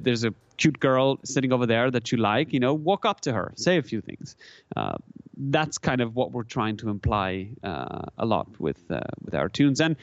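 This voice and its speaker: Halting man, dry sound. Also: medium pitch, no room sound, dull audio. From German-speaking Switzerland. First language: Swiss German. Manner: halting, full of restarts and repeated words. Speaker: musician guest. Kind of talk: casual interview answers.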